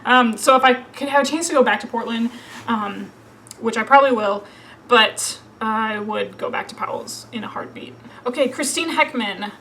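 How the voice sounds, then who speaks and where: Woman, 30s, from Minnesota, slightly high voice, casual filler-heavy vlog chat, faint noise. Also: normal speed, pushed and bright, rough recording. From Minnesota, USA. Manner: chatty, filler-heavy, laughs often. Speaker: book vlogger. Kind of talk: casual Q&A to camera.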